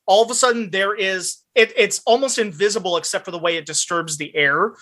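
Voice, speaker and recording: A woman game master with a bright, clear-cut edge to a mid-pitched voice. She sets scenes in a conversational, descriptive way for the players at a tabletop game. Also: brisk.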